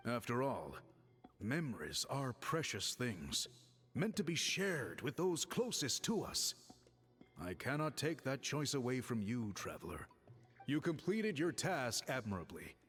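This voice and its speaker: Dignified game chief, formal, deliberate, expressive pitch swings, mid-range, music behind. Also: male voice. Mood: solemn.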